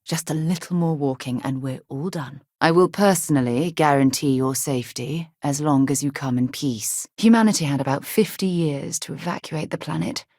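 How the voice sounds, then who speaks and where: An actress playing a principled, earnest ex-military space explorer in a video game, articulate and expressive. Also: female voice, low-pitched, moderate pace, hardly any pauses, no echo, clean studio sound. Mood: calm, reassuring. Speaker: companion character in a space game.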